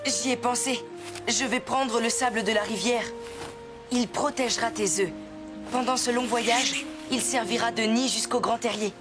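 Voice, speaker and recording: A woman voicing a kind, earnest young boy hero in a cartoon, with expressive delivery. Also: on the high side, moderate pace, music in the background, close to an everyday voice. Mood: reassuring, determined. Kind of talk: French animated series dubbing.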